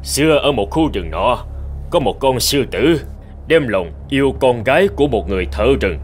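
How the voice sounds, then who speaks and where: Man acting an authoritative, expressive president. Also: medium pitch, faint music behind, natural voice. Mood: thoughtful. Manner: authoritative, deliberate. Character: stern, resolute middle-aged male president, thoughtful leader. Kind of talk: cartoon drama acting, storytelling.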